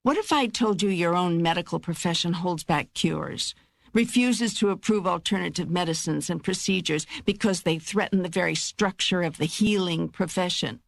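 God for an audiobook, a wise and reassuring spirit with a low voice. The delivery is assured and measured, with a teasing wit, recorded dry and close. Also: female voice, creaky, dry recording. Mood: firm, insistent. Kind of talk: dramatised audiobook dialogue.

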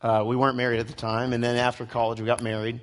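A man's voice: medium pitch, monotone, fast talker. A man in his late twenties giving a casual, joking sermon to a church youth crowd, chatty and self-deprecating. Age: 20s. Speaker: guest preacher. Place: church hall before a live crowd.

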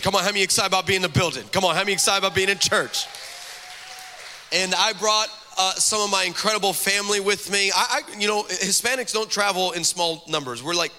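A man in his forties from the Texas–Mexico border, a guest pastor preaching a lively, joking sermon and calling out to the crowd in a large church hall. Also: high-pitched. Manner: energetic, joking, calls out to the crowd. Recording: long hall reverb from a church sanctuary.

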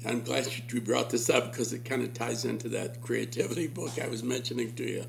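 A man's voice: medium pitch, brisk, vocal fry. A man interviewing a teacher, encouraging her and praising her answers. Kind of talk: interview conversation.

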